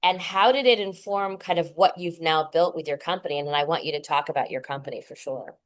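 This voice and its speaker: A woman hosting an interview podcast, with a somewhat low voice. She sounds upbeat and casual, leaning on fillers like "like" as she sets up her questions. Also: a little fast. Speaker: podcast host.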